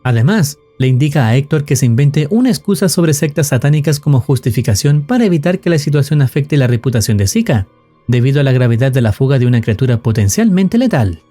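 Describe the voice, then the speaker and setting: A man's voice: mid-range voice, sing-song, fast, mellow, faint music behind. A man delivering formal, dramatic scripted narration that recaps an analog horror story.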